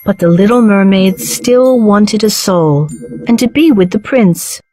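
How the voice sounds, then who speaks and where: A woman reading a children's storybook as narrator, with measured, clearly phrased delivery. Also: mid-range voice, animated, mellow, dry recording, soft background music.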